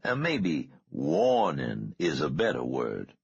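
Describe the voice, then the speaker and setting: Man playing a very deep, easygoing male investigator. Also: huge pitch swings, dull audio, exaggerated voice. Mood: matter-of-fact. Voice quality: very deep, husky. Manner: unhurried, probing, gently reassuring. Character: deep-voiced, easygoing, reassuring male investigator questioning a victim. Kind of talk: audiobook character dialogue.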